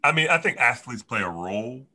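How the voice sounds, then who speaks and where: A man's voice: medium pitch, very animated, a little fast, bright, forceful tone. A man on a podcast panel, talking casually about sports.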